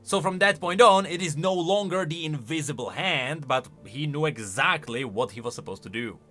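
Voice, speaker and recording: Male lore commentator: casual and animated, very lively pitch swings, forceful bright tone, thinks aloud. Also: normal speed, soft background music. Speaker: video host, game lore commentator.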